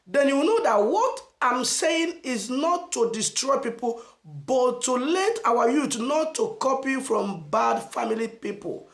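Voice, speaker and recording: A Nigerian man giving an emphatic motivational message as a channel host, asking rhetorical questions and stressing phrases slowly. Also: very high-pitched, lively intonation, a bit bright, echoey room. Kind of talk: motivational preaching to camera.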